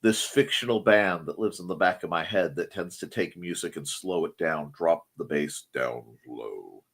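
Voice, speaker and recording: A middle-aged man chatting casually as a show co-host, rambling, pausing to search for words and adding wry asides, his voice still recovering after he nearly lost it. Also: low voice, a bit bright. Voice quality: husky. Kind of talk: casual chat.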